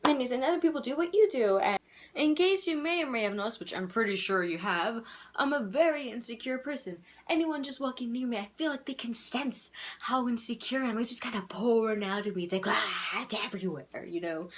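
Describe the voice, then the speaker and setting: A young woman with a childish-sounding voice, talking candidly and rambling in a casual video letter to her twin, laughing softly at herself. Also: high-pitched. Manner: candid, rambling, self-deprecating with frequent soft laughs. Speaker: vlogger.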